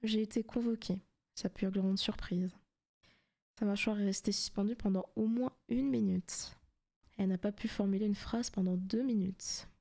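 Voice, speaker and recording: A woman playing a seductive, possessive demon in a soft, teasing ASMR roleplay, often slipping into whispers. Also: medium pitch, fairly even intonation, moderate pace, creaky. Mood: sly, menacing. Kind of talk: ASMR roleplay, intimate scripted monologue.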